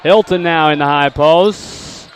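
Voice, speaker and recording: A man calling live basketball play-by-play, rattling off names and stats and shouting on big plays. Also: on the high side, slow pace, clear, resonant tone, low-quality recording. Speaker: play-by-play commentator. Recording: live gym crowd and court noise. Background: crowd noise and bouncing basketballs.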